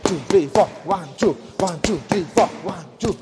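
Coach barking counts. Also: male voice, high voice, moderate pace, over music. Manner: short counting commands in broken English. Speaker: boxing coach. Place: boxing gym ring, indoors.

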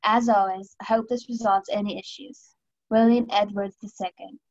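A woman's voice: medium pitch, steady tone, moderate pace, a little mellow, no room sound, muffled recording, lightly acted. A young woman playing a nervous, evasive suspect in an amateur radio play. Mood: reading aloud. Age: young adult.

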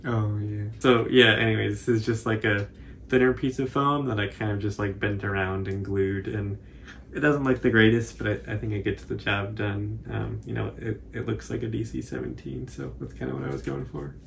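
Young man co-hosting a how-to, lowish voice with vocal fry, easygoing hesitant wording, slight background noise. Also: normal speed. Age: young adult. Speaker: cosplay tutorial co-host. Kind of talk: casual explanatory chat. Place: small furnished room indoors, on a couch.

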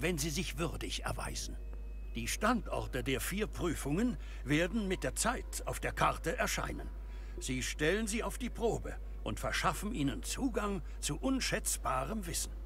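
Solemn old wizard, lively pitch. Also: male voice, a little hiss or hum. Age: older. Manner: formal, solemn, deliberate. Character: ancient wizard professor in an enchanted portrait, grave and measured. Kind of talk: scripted video game dialogue in German.

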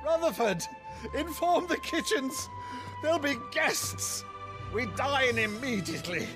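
Grey-bearded British nobleman, video game drama. Theatrical, wry, measured pace, score underneath. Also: very high-pitched, expressive. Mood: brisk, jovial. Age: older. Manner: theatrical, archaic, grandiloquent phrasing. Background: background music.

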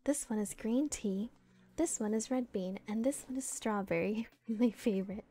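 A young woman playing a friendly, bashful, teasing older sister in a gentle, chatty ASMR roleplay. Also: on the high side, moderate pace, slight background noise, lightly acted. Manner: gentle, giggly, bilingual English and Japanese. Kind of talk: soft ASMR roleplay, casual chat.

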